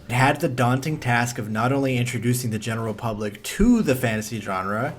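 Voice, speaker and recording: A man's voice: mid-range voice, slight background noise. A man narrating a scripted video essay in a blunt, profane, opinionated way.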